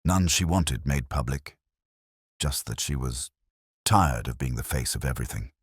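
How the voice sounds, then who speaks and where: A man's voice: extremely low pitch, lively intonation, normal speed, husky, no echo, clean studio sound, voice pushed down, exaggerated voice. A man voicing a hesitant, deferential police sergeant giving polite, plain reports in a mystery story.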